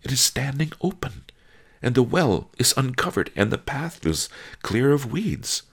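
An earnest young pupil in a storybook speaks in hushed wonder, as a man puts him on. The voice sits well above the reader's own deep tone and stays husky and gravelly. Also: big pitch swings, unhurried, full character voice. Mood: amazed.

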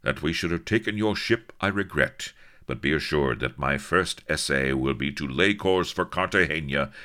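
A man's voice: a bass voice, normal speed, pushed and bright. A man voicing a stiff, formal English naval captain with old-fashioned manners.

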